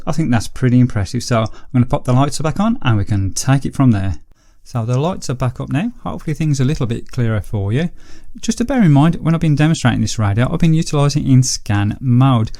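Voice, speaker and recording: Man reviewing gadgets, creaky mellow voice. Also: mid-range voice, dry recording, studio quality. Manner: explanatory, step-by-step. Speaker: presenter of radio reviews. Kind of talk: casual product review narration.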